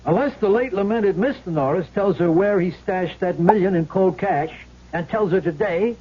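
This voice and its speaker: An actor playing a cocky, whiny out-of-work husband who helps with a swindle in a vintage radio drama. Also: male voice, high-pitched, moderate pace. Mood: defensive, cocky. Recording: muffled old radio recording, long reverb.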